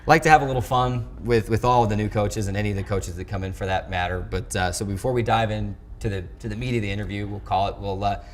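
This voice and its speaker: A man hosting a sports talk show in a studio, interviewing conversationally with wordy, halting phrasing and repeated words. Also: on the low side, steady tone, a little hiss or hum. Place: television studio.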